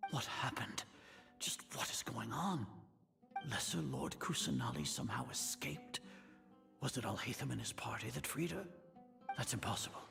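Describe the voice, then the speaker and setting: A man's voice: medium pitch, sing-song, moderate pace, creaky, music in the background. An actor playing an imperious, suspicious older male grand sage in a video game, speaking formally and commandingly as he grows rattled. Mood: alarmed, suspicious. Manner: commanding, formal, questioning himself aloud.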